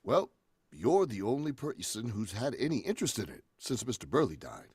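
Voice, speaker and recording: A man's voice: lowish voice, big pitch swings, moderate pace, darkish tone, slightly rough, no echo, clean studio sound. A man playing an uneasy real estate agent, acted in the manner of a 1940s radio horror drama. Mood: businesslike.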